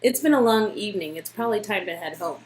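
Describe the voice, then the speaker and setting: A young woman, played by a woman, in a romance game, teasing and intimate. Her voice sits at a middle pitch, with music running behind it. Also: expressive, fast, close to an everyday voice, low-quality recording. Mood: wistful. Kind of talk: amateur voice acting of a romance game.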